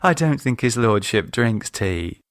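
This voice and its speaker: A man's voice: on the low side, sing-song, unhurried, dry recording, clean studio sound. A man voicing a confident, breezy English woman, a teasing sister, in an audiobook. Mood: dismissive, teasing. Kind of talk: audiobook character acting.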